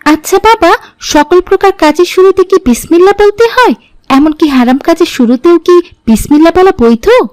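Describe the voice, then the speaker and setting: A woman's voice: very high-pitched, moderate pace, no echo. A woman playing a curious, cheeky little girl in a cartoon. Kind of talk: cartoon dialogue.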